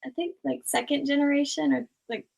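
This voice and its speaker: Young woman with a creaky voice. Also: sits high, brisk. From Thailand. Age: young adult. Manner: relaxed, rambling, many "like" and "um" fillers. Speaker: guest, small YouTuber. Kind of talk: casual interview chat.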